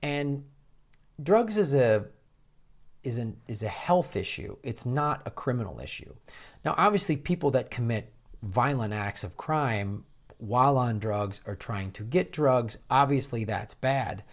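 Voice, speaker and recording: Exasperated American man, halting political rant. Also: medium pitch, lively intonation. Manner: exasperated, incredulous, mocking; halting, with repeated false starts. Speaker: host of a political commentary show. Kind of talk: casual political commentary with read-out quotes.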